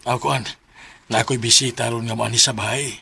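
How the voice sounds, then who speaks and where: A sharp, gravelly Cebuano father. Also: mid-range voice, steady tone, a little fast, heavily acted. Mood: affectionate. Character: affectionate middle-aged father of a grown daughter. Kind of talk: Filipino radio drama acting.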